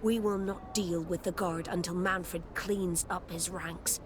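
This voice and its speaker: An actress playing a stern, proud, indignant navy admiral in a video game, speaking in a formal, commanding manner. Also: female voice, fairly low pitch, steady tone, measured pace, over music, close to an everyday voice. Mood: indignant, threatening. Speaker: game character actor. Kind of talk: dramatic video game acting.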